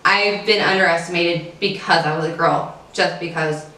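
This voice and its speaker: A woman's voice: on the low side, steady tone, moderate pace, sharp tone. Woman, 21, from Kentucky, earnest, far off in a very echoey room. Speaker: interviewee, runner.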